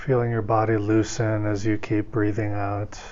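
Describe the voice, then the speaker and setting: Dull lo-fi recording, man, meditation teacher, low voice, gentle, unhurried, long pauses. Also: flat delivery, a little hiss or hum. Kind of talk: guided meditation instruction.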